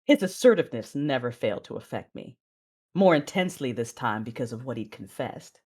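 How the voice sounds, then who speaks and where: Husky, intense female Sith warrior. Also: extremely low pitch, lively intonation, a little fast, a little mellow, full character voice. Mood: tender, passionate. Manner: intimate, breathy, intense delivery. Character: passionate young female Sith warrior, wounded and fierce. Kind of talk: first-person dramatic narration in an audio drama.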